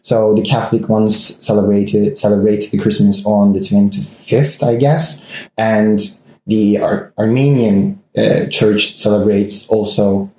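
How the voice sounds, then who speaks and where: A man's voice: on the low side, fairly even intonation, unhurried, warm tone. A twenty-year-old man with a Turkish accent, explaining hesitantly in an informal interview. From Turkey. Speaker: interviewed volunteer. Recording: echoey room.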